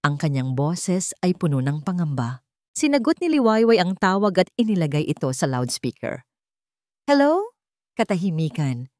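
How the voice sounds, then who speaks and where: A woman's voice: low voice, very animated, moderate pace, a little mellow, no room sound, studio quality. A woman reading scripted fiction as a dramatic storyteller. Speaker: story narrator.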